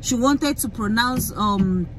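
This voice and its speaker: A young Nigerian woman with a Yoruba-flavoured accent, vlogging into a phone in a car, talking in an animated, emphatic way with many fillers and restarts. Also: on the high side, slow, a little hiss or hum, low-quality recording. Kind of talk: casual rant to camera. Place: inside a parked car. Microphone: phone camera held close.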